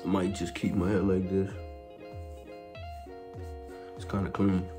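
A teenage boy talking to a handheld camera as he walks through school, loose and slangy, teasing and laughing. Music plays clearly behind him. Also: low-pitched, little pitch movement, measured pace. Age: teens. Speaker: vlogger and street interviewer. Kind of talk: casual vlog chat and joking interviews. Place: school hallways and grounds.

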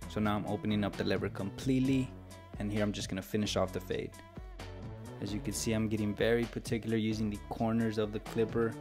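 A man's voice: lowish voice, flat delivery, fast. An American man narrating an instructional tutorial in a casual, step-by-step way. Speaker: barber giving a tutorial. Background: background music with guitar.

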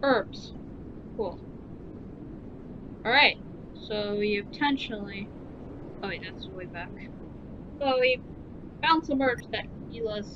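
A woman's voice: takes it slowly, pauses often. A woman doing casual, informal let's play commentary, muttering to herself as she plays a game. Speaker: gaming video host. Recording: muffled audio with loud rumbling noise.

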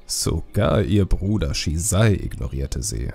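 A man narrating a fantasy audiobook in an expressive, descriptive storytelling style. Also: deep voice, slow pace, creaky, slightly rough, faint music behind.

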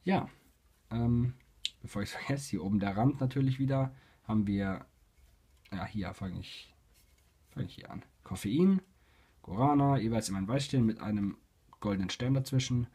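A young man with a cold, casually reviewing a product and talking in a halting way with many fillers and restarts. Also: medium pitch, dark tone, breathy edge. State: has a cold. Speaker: YouTube reviewer. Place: indoors at a table, voice-over with hands on camera.

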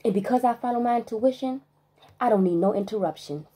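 A woman vlogger in a spiritual rant monologue, emphatic and profane, stressing single words with long pauses. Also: fairly high pitch, dark tone, breathy edge.

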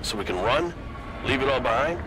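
An actor playing a blunt, weary armored soldier in a video game, speaking with a gritty, sardonic manner. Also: male voice, expressive, fairly quick, lightly acted. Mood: bitter, weary. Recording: loud music and battle rumble behind.

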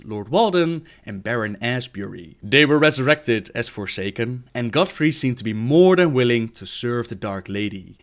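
A man reading scripted video game lore as a narrator, in a storytelling manner. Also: medium pitch.

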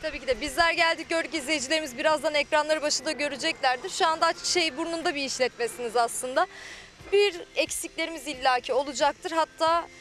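A woman's voice: high voice, fast, fairly bright tone. A young woman TV reporter interviewing outdoors by a beach, holding a handheld mic and speaking in fluent, polished presenter phrases. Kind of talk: on-camera interview. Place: outdoors by a beach under trees.

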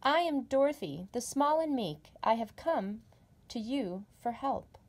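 Woman doing a small meek girl voice, higher, earnest and polite. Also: animated, measured pace. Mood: pleading. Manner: bright, higher earnest pleading.

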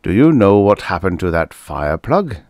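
A man voicing a gruff, suspicious beat policeman who booms and grows exasperated. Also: sing-song, normal speed, a little mellow, no echo, studio quality. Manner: booming, exasperated.

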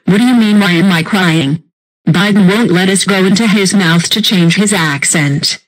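Woman playing a bossy, exasperated little woman, mid-pitched. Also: measured pace, husky, poor audio. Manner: demanding, exasperated. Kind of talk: scripted comedy skit dialogue.

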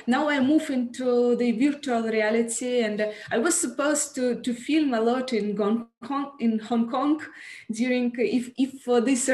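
A young woman with a Russian accent talks slowly and haltingly on a video call, often pausing on fillers as she hunts for words. Her voice sits a little high, and the call carries a roomy echo. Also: darkish tone. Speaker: panelist poet. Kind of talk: panel discussion on a video call. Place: home room, indoors. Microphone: video call, headset.

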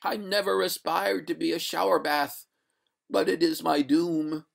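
Storybook water ghost , male reader: high, very slow, gurgling maiden, haughty and indignant, formal old-fashioned diction. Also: steady tone, gravelly. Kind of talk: storybook character voice.

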